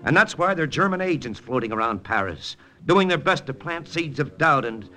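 A man's voice: on the high side, big pitch swings, a little fast, bright, forceful tone. An actor playing a cool, persuasive American wartime lieutenant with an American accent, telling his story in hardboiled 1940s radio drama style. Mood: wry, explanatory. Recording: old radio broadcast with music.

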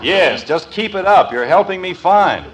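A man's voice: high voice, lively intonation, moderate pace. An actor playing a middle-aged American father, dry, sarcastic and exasperated, in a 1950s radio sitcom. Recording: muffled, noisy old radio recording.

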